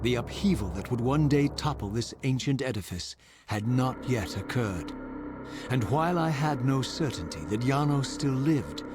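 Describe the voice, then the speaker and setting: An actor playing a vengeful undead vampire wraith in a video game, narrating in a grave, formal, theatrical way with ornate diction. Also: male voice, mid-range voice, lively intonation, normal speed, noisy background, natural voice. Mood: hopeful, solemn. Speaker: narrating protagonist. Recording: music under the voice. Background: loud music.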